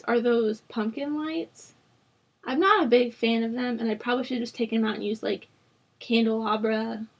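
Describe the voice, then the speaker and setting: A young woman host rambling casually over a game build, her voice rough from a sore throat, with lots of fillers. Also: a little high. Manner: rambling, chatty, with frequent fillers. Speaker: Sims builder channel host. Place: at home.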